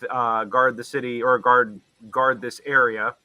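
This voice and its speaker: A man hosting a tabletop game as game master, narrating in a halting way that often backtracks. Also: medium pitch, level tone, pushed and bright, dry recording. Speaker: game master and stream host.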